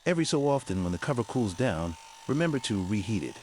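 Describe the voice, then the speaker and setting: A man with a fairly low voice narrates a hands-on tutorial in careful, step-by-step fashion. His pitch moves around in a lively way as he gives instructions. Some faint noise and clicking sit behind the voice. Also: fast.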